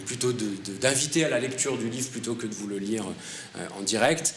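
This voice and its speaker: A man's voice: mid-range voice, brisk. A man presenting his co-authored book at an award ceremony panel, speaking hesitantly with many fillers and restarts. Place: conference hall. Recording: roomy hall with faint noise.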